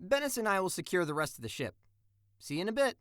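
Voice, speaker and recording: A woman's voice: fairly low pitch, big pitch swings, fast, no room sound, clean studio sound. A woman playing a cocky, upbeat space gunslinger in a sci-fi comic dub, breezy in manner. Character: cocky, upbeat alien gunslinger crew member. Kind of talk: comic dub character acting.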